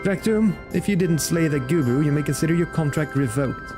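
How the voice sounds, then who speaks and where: A man voicing a gruff, suspicious, foul-mouthed chief from a game, scornful and challenging. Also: on the high side, animated, fairly quick, music in the background, voice pushed up, lightly acted. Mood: scornful, angry. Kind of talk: reading game dialogue aloud in character.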